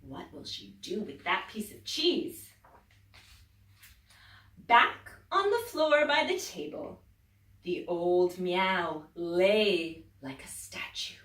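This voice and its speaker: Woman teacher reading to kids; slow and very lively delivery, bright tone, echoey room, mic at a distance. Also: fairly low pitch. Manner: expressive, swinging from whispers to loud exclaims. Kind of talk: children's story reading.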